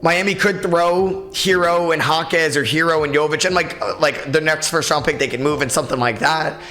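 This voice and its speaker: Raspy-voiced man. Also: fairly high pitch, fairly even intonation, normal speed, pushed and bright. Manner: casual, opinionated, many fillers. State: tired, short on sleep. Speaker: podcast host. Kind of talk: solo sports podcast commentary.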